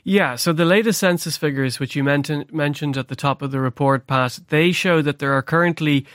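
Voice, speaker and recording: Here is a man reporting on radio in a measured, informative way. His voice sits a bit high for a man. The studio sound is close and dry. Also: fairly bright tone, dry recording.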